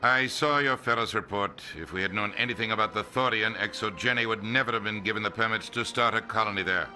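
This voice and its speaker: An actor playing a bitter, haughty ambassador in a video game, sardonic and lecturing. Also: male voice, lowish voice, quick pace, sharp tone, soft background music. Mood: official. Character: haughty, bitter male ambassador, career politician. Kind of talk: video game character acting.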